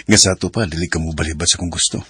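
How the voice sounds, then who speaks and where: A man with a Visayan Filipino accent and a husky voice playing a smooth-talking, fickle young boyfriend in a radio drama. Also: sits low, fairly quick, pushed and bright, dry recording, full character voice. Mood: coaxing. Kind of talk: radio drama acting in Cebuano.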